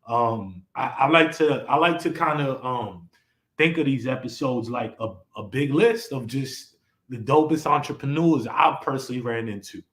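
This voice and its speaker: A man's voice: medium pitch, normal speed, a bit bright. A young man hosting a casual live-stream talk show, rambling and laid-back with lots of fillers. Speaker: podcast host. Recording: echoey room.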